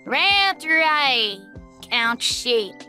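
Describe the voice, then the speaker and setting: Woman voicing a shrill, bossy young cartoon hero. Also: very animated, drawn-out words, bright, forceful tone, heavily acted. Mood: bossy, loud. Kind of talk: cartoon comic dub acting. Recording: music playing under the voice.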